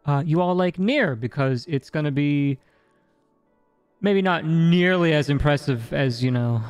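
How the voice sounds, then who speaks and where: A man's voice: fairly high pitch, unhurried, clear, resonant tone, no room sound, faint music behind. A man wrapping up a tabletop game stream as game master, chatty, laughing often and stumbling over repeated words.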